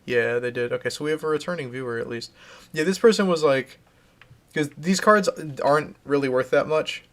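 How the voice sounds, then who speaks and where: A man's voice: a little high, fairly quick, fairly bright tone. A man streaming live, casually chatting and reading out viewer comments, rambling and restarting his sentences.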